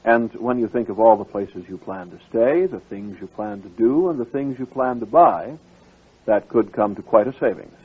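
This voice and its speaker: A man's voice: lowish voice, normal speed, husky. A man working as a radio station announcer, reading scripted ads and programme introductions. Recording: narrow, phone-like radio sound.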